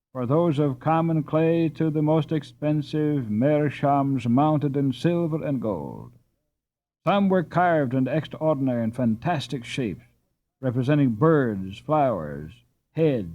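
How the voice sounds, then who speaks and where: Animated male storybook reader, mellow tone. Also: medium pitch, muffled recording. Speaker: audiobook reader. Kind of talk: scripted storybook narration.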